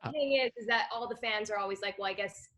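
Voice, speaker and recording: A woman's voice: medium pitch, fast talker. A woman in her thirties from Montreal, a guest actress talking casually in an interview and telling stories with frequent laughs. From Canada, Montreal. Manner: chatty, laughs often, animated storytelling.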